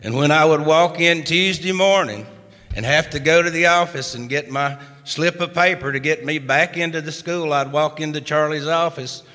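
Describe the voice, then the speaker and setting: A man from East Tennessee with an East Tennessee accent, spinning a funny story in an unhurried folksy drawl as a singer-songwriter and storyteller on a live radio stage. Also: a little high, sharp tone, in a large hall. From East Tennessee, USA.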